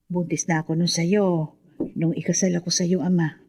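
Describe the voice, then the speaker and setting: Sorrowful anxious mother, rough-edged husky voice, hesitant, emotive, radio horror drama. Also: lowish voice, level tone, normal speed, darkish tone. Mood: sorrowful recollection.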